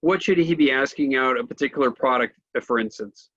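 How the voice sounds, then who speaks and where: A man's voice: medium pitch, a little fast, a bit bright, dull audio. A man hosting a trade interview, introducing his guest and putting structured questions to him. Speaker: trade press interviewer and host.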